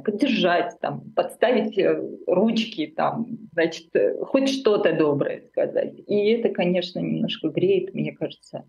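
A woman's voice: lowish voice, gravelly, a little mellow, highs cut off. A middle-aged woman from Armenia, a writer speaking as an interview guest in a reflective, searching way, full of fillers and self-corrections.

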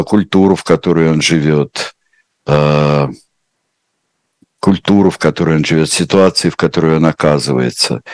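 A male translator answering viewer questions in an unscripted, reflective way, often pausing on filler sounds as he thinks. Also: a bass voice, dry recording. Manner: hesitant, thoughtful, heavy with filler sounds.

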